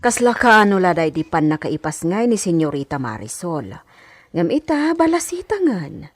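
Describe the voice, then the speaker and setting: An actress with an Ilocano accent playing a gossipy, outspoken hacienda maid in a radio drama. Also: female voice, on the low side, very animated, moderate pace, soft background music.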